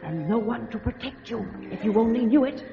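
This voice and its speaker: An actress playing a soothing but sinister forest enchantress in an old-time children's radio drama, coaxing slowly and languidly. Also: female voice, on the high side, animated, vocal fry. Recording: dull old radio recording, long reverb, music.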